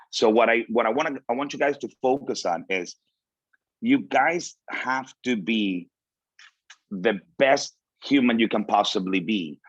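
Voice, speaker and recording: A man's voice: medium pitch, fairly bright tone, no room sound. A man in his late thirties from Venezuela, a native Spanish speaker, giving an informal motivational talk in English with emphatic repetition. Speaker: guest speaker. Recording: dull, lo-fi audio.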